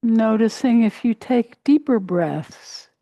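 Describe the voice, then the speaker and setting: A woman teaching a guided meditation in a gentle, unhurried way, pausing between short phrases. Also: a little high, lively intonation, no echo, dull audio. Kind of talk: guided meditation, body scan.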